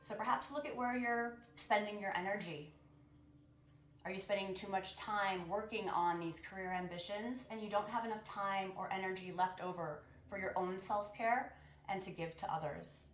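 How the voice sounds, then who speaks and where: A woman's voice: mid-range voice, moderate pace, a little hiss or hum. Woman guiding a meditation, roomy echo. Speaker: guided meditation and sound bath host. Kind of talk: guided meditation, spiritual talk to listeners. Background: music.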